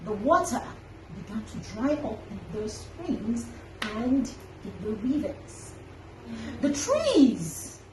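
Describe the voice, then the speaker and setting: A woman hosting a children's story time, telling an animal tale with dramatic, deliberate emphasis. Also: on the high side. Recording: echoey room, distant mic, faint noise.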